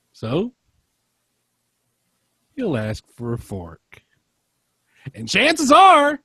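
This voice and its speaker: An exasperated picture-book narrator, acted out by a man, with a high, swooping voice and sing-song, drawn-out phrases. The sound is close and dry. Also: a bit bright, dry recording, exaggerated voice. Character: slightly exasperated storybook narrator warning of a demanding monster. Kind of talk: expressive children's picture book read-aloud.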